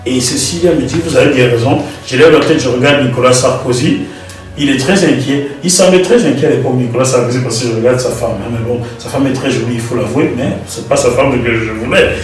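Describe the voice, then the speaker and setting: A man from Gabon, an interview guest telling his story in an animated way with frequent laughter. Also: medium pitch, quick pace. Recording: echoey room, far from the mic, music behind.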